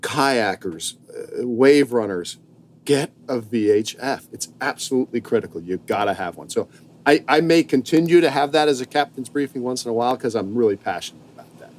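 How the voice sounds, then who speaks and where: An American man co-hosting a podcast in casual chat, a little halting and repeating himself for emphasis. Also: medium pitch, expressive. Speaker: podcast co-host, boat captain. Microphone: remote connection.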